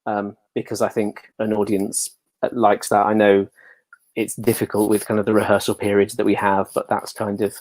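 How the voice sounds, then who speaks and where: English male, low register, dry sound. Relaxed anecdotal interview guest, jokey and self-mocking. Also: normal speed, no echo. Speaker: interview guest, pantomime dame performer. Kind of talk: casual chat, anecdotal.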